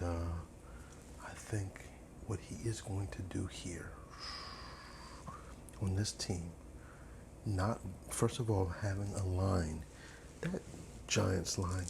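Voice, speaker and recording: A man's voice: lowish voice, vocal fry, noisy background. A man chatting casually in an ASMR cook-along style as he cooks, playfully repeating and drawing out his words. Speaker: host chatting while cooking. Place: home kitchen, indoors.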